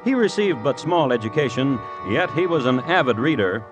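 A man playing a droll crime connoisseur, narrating deliberately as host of an old radio show. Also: medium pitch, very animated, over music, lightly acted. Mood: dry, deliberate. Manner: dry, deliberate, wry. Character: droll, morbid male crime connoisseur and storyteller. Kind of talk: 1950s radio scripted narration.

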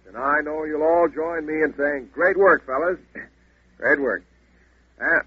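An actor playing a folksy club speaker making halting, hemming announcements in an old radio ad. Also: male voice, a little high. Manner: halting, hemming, throat-clearing. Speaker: commercial spokesman. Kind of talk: 1940s radio ad as meeting announcements. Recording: tinny, echoey old radio recording.

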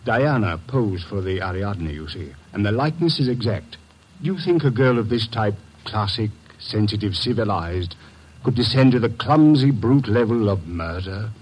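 A man's voice: on the low side, animated, normal speed, a little mellow, slightly rough, poor audio. An actor playing a haughty middle-aged sculptor who lectures grandly on art and guards his daughter, in 1940s radio acting style. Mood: smug. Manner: florid, lecturing, condescending. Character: haughty male sculptor, protective father. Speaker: suspect's father.

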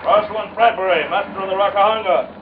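A noisy, muffled old radio recording. A male actor plays a genteel villain, master of a yacht, pitched high for a man. He speaks with florid, insistent courtesy in an old-time radio acting style. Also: fast talker, natural voice. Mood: suave, insistent. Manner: florid, over-polite, pompous. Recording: dull vintage radio recording, noisy background.

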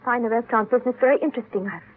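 A woman's voice: on the high side, very fast, close to an everyday voice. An actress playing a determined, guarded young woman in a 1950s radio drama. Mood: uneasy, guarded. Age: young adult. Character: determined, guarded young woman investigating her father's death. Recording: muffled vintage 1950s radio recording.

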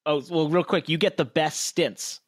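A man's voice: a little high, steady tone, normal speed, no room sound, studio quality. A man hosting a racing podcast, chatty and upbeat, laughing often as he asks questions. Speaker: podcast host and racing driver. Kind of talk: casual podcast chat.

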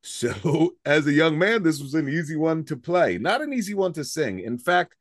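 A man in his forties with a slightly raspy voice, co-hosting a casual music podcast and talking enthusiastically, with lots of fillers and restarts. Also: on the high side, very animated, normal speed, dry recording, clean studio sound. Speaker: podcast co-host.

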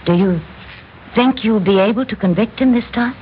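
A woman's voice: mid-range voice, natural voice. Actress as hesitant young woman, tinny radio. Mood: anxious, tentative. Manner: tentative, halting. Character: Alice, hesitant, worried young woman, loyal but doubting. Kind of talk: mid-century American radio drama acting. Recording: thin, phone-like old radio recording, faint noise.

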